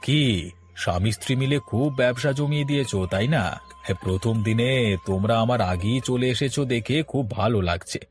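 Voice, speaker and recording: A man's voice: mid-range voice, a little fast, soft background music, lightly acted. A man voicing a kind, honest shopkeeper and father in a moral-story cartoon, speaking in a welcoming, earnest way. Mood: pleased.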